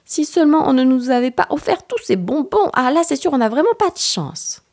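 Excitable little storybook boy played by a woman, high-voiced, animated, quick to gasp and exclaim. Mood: dismayed. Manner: exclaiming, breathless with amazement and dismay.

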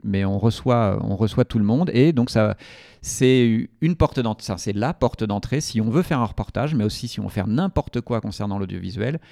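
A man's voice: on the low side, creaky, mellow, no room sound, clean studio sound. A man from a community TV association explaining its work in a radio interview, hesitant and full of restarts.

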